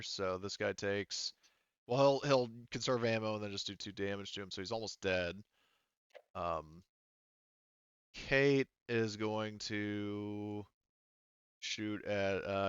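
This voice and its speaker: A man's voice: fairly low pitch, sharp tone, smooth, no echo, highs cut off. A man narrating a tabletop roleplaying game as game master in a casual way, thinking aloud and swearing freely.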